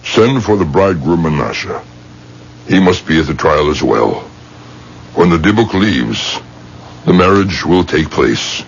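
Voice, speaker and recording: An actor playing a solemn rabbi exorcist with deliberate, incantatory speech in a 1970s radio drama. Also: male voice, deep voice, expressive, creaky, heavily acted. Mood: grave, judicial. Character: weary, solemn rabbi exorcist, commanding with spirits. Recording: dull, noisy 1970s radio broadcast.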